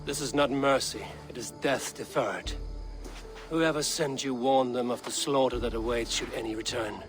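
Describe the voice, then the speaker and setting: An actor playing a level-headed, commanding male Viking warrior leader in a video game, speaking in a stern, measured way over music. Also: medium pitch, close to an everyday voice. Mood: firm, cold. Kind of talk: video game dramatic acting.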